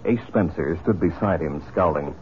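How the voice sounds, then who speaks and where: A man's voice: sits low, normal speed. A man narrating a 1950s radio western as its announcer, dramatic and scripted. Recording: muffled vintage radio broadcast.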